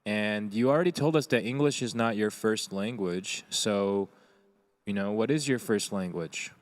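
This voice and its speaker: A man's voice: lowish voice, moderate pace. A man co-hosting a casual live stream, talking loosely with many fillers and reading viewer comments aloud. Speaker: co-host and co-producer.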